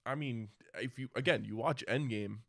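A man's voice: medium pitch, normal speed, creaky, dry recording, studio quality. A man co-hosting a casual, opinionated movie discussion in an informal, sweary manner. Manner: informal, profane. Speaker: podcast co-host.

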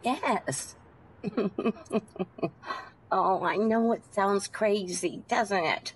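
An actress playing a polite, serene and eccentric taxi passenger, gentle and hesitant, in old-time radio style. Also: female voice, medium pitch, extremely slow, slight background noise.

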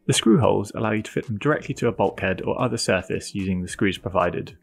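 A man with a lowish, creaky voice reads scripted product narration. He is measured and explanatory, with light music playing behind him. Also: animated.

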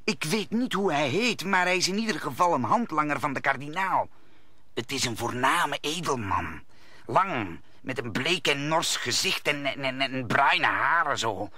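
An actor playing a fretful landlord and husband in a radio play, nervous and halting, stammering as he speaks. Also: fairly high pitch, animated, pushed and bright, no room sound, full character voice. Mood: agitated, stammering. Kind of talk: Dutch radio play acting.

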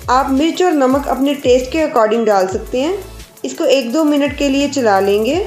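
A woman with a high voice walks viewers through a home recipe in a calm, explanatory way. Her voice-over rings in an echoey room, with faint music underneath. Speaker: cooking channel host. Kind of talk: step-by-step cooking instruction. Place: home kitchen.

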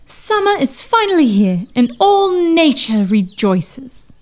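A woman playing a gentle, concerned sister in a Victorian audio drama, theatrical and earnest. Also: sits high, very animated, takes it slowly, heavily acted. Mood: cheerful.

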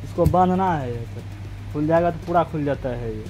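A young man in a mattress workshop, explaining each step while he works, with loud music playing over his voice. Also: on the high side, moderate pace, rough recording. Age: young adult. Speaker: factory worker explaining mattress making. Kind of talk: casual how-to explanation.

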